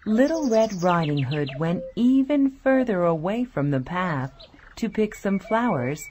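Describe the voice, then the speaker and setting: A woman's voice: mid-range voice, very animated, unhurried, darkish tone, a little hiss or hum. A woman narrator reading a scripted fairy tale for children. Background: faint music.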